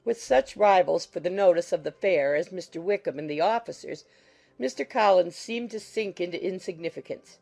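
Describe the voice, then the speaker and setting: A woman narrating a classic novel as a scripted audiobook, reading in a measured, literary manner. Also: lowish voice, lively intonation, vocal fry, dark tone.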